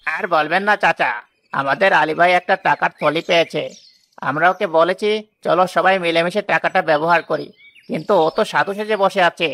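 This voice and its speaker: A man's voice: fairly high pitch, moderate pace, fairly bright tone. An actor playing a sly, greedy man in a cartoon tale, teasing and persuasive with false friendliness. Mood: sneering. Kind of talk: Bengali cartoon villain acting.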